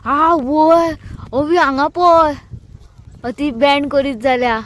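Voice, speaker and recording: Woman, Goan accent, high-pitched, slow talker, casual vlog chat. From Goa, India. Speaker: vlogger.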